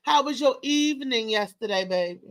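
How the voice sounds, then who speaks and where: High-voiced, brash woman hosting live. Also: lively intonation, fairly quick. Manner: brash, sassy, slangy. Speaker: live show host and comedian. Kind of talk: casual live morning show chat, ranting.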